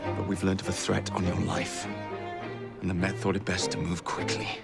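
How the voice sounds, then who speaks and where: An actor playing a cocky young assassin, wry and rough-and-ready in a game cutscene. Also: male voice, low-pitched, level tone, normal speed, loud music behind the voice. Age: young adult. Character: cocky young male assassin posing as bodyguard.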